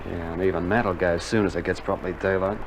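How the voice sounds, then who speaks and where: A man's voice: low voice, fairly even intonation, fast talker. An actor playing a whingeing, wisecracking, jumpy Australian infantryman in a colloquial 1960s radio drama style. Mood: wry, grumbling. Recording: noisy old vinyl radio drama recording.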